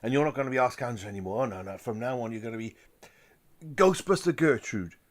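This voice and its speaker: A man playing a gruff, excitable magazine boss in an audio drama, emphatic and blunt. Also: mid-range voice, fast, natural voice. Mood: excited.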